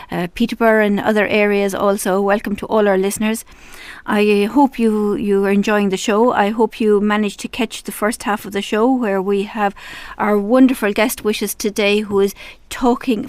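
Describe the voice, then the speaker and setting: A woman hosting a radio talk show from a studio, gentle and affirming, with frequent soft murmurs of agreement. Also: mid-range voice, clean tone, no room sound.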